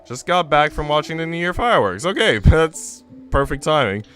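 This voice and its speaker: A man's voice: a little high, very animated, moderate pace, a bit bright. A man chatting casually on a livestream as he reads a visual novel. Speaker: streamer reading a visual novel aloud to chat. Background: music.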